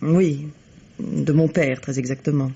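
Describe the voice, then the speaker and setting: A dull, lo-fi radio mystery recording carries the husky voice of a haughty bourgeois woman. She is cynical and coolly disdainful, choosing her words with measured precision. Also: sits low, fairly even intonation, dark tone, full character voice. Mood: bitter, disdainful. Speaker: radio drama actress. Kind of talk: French radio mystery acting.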